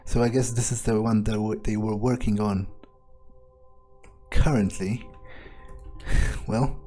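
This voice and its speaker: A man improvising in-character video game commentary, thinking aloud in a deadpan, callous way with pauses. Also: on the low side, faint music behind. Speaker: gameplay player staying in character.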